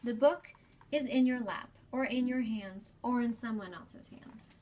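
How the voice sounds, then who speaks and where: A woman in her thirties hosting a children's storytime, explaining gently and deliberately in a slightly breathy voice. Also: fairly high pitch, slight background noise. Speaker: children's storytime host at a library. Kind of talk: children's storytime reading and talk. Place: small library space, indoors.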